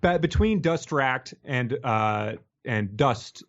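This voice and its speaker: A man's voice: medium pitch, lively intonation, takes it slowly, fairly bright tone, highs cut off. A man co-hosting a podcast in casual, wry discussion of a book, often rephrasing mid-sentence. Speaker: podcast co-host.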